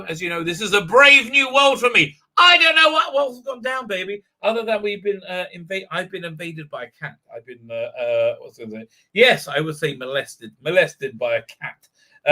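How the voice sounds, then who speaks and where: Livestream host, man, chatty and rambling, very bright tone. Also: high voice, lively intonation, moderate pace, rough recording. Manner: rambling, many fillers and restarts. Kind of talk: casual livestream chat.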